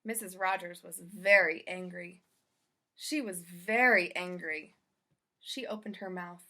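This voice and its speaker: A woman narrating a children's storybook aloud in an expressive read-aloud style. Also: fairly low pitch, a little slow. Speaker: storybook reader.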